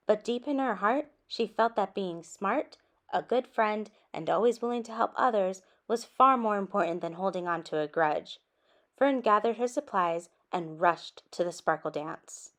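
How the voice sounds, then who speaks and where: A woman reading a children's story aloud in an expressive way, with animated asides addressed to young listeners. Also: medium pitch, normal speed. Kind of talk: expressive picture-book read-aloud.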